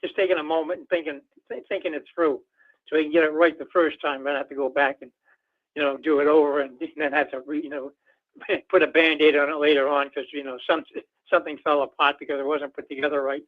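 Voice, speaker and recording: Tinny phone line, quick higher-voiced male caller. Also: flat delivery. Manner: rambling, folksy, leans on filler phrases. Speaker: caller to a radio show. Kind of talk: casual political phone-in chat. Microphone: telephone.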